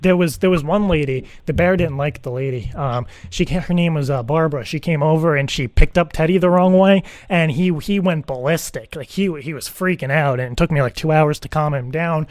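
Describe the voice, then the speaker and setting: Man playing a higher-voiced, earnest, rambling dad. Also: a little mellow, no room sound, close to an everyday voice. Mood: anxious, insistent. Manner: halting, repetitive, rambling, earnest. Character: earnest, anxious divorced dad seeking a teddy-bear sitter. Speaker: prank caller. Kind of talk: improvised prank phone call.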